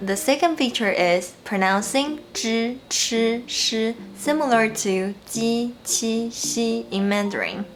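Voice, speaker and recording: A woman's voice: on the high side, a little hiss or hum. Taiwanese-accented woman teaching pronunciation. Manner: clear, explanatory, slows down on example sounds. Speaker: Mandarin teacher. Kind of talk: scripted pronunciation lesson.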